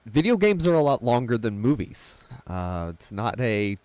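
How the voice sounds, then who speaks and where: A man's voice: medium pitch, sing-song, moderate pace, darkish tone, dry recording. A man talking casually as a panelist in a film discussion, with a wry, dry-humoured manner. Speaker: podcast panelist.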